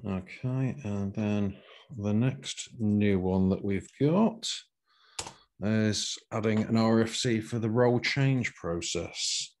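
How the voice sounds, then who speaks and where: A man chairing a work meeting on a video call, speaking with hesitant pauses. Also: on the low side, darkish tone, slight background noise. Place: small home room, video call.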